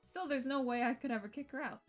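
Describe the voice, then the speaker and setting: A woman streamer reading visual novel narration aloud in a playful, expressive storytelling way, with casual asides. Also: high voice, fast. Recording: music behind the voice.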